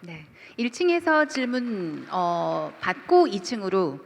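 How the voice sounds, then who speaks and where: A woman moderating an event, making brisk announcements. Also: mid-range voice, sing-song, vocal fry, slight background noise. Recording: distant reverberant hall sound.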